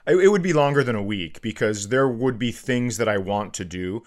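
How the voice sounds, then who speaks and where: A man's voice: lowish voice, lively intonation, fairly quick. A man co-hosting a comedy podcast, musing through hypotheticals in casual, self-deprecating chat with some easy swearing.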